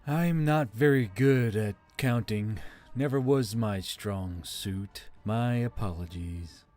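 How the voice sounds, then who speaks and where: Man voicing a hushed, polite magical spirit. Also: lowish voice, slow, soft background music. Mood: apologetic. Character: polite male magical spirit voice, mild and curious.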